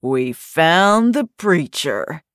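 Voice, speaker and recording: A woman's voice: low-pitched, very animated, extremely slow, no echo, clean studio sound, full character voice. A woman doing a growling, ragged-voiced raider. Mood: cold command.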